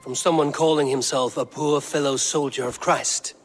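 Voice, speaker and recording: A man's voice: mid-range voice, steady tone, lightly acted. Game casting: male actor for a resolute Norse warrior chief, mid-range voice, grave delivery, music behind. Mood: businesslike. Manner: measured, grave, plain-spoken. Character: stoic Viking clan leader, male warrior, steady and resolute.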